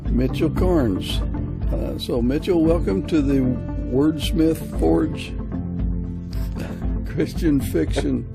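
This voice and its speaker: A man's voice: on the high side, takes it slowly, vocal fry, music in the background. A man hosting a podcast and interviewing a guest in a conversational style.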